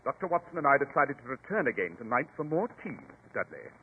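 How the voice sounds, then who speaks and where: An actor in a 1940s radio drama playing a shrewd, courteous English detective, formal and self-assured, with a British accent. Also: male voice, mid-range voice, sing-song, fast talker, slightly rough. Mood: commanding. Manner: precise, formal, authoritative. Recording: muffled, tinny 1940s radio recording.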